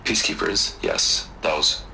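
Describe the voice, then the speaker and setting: An actor playing a smug, evasive male tech tycoon in a video game, talking in a smooth, ingratiating way. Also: a little slow, bright, forceful tone, slight background noise.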